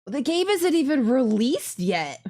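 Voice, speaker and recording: A woman's voice: high-pitched, very animated, measured pace, a little mellow. A woman streaming a game with casual, exclamatory live commentary, often repeating her phrases. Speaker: streamer playing a visual novel. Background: game music.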